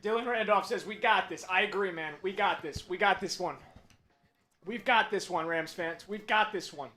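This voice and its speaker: Fan play-by-play man, high voice, hoarse from screaming, hushed strain between shouted big plays, streaming from a desk mic. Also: moderate pace, soft background music. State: losing his voice from screaming. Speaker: watch party play-by-play host. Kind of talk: excited fan play-by-play on a livestream.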